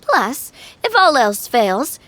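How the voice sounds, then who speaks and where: An actress playing a spirited, impulsive young female adventurer in a video game, with an expressive, perky delivery. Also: fairly high pitch, slow, no room sound, full character voice. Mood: curious, breezy.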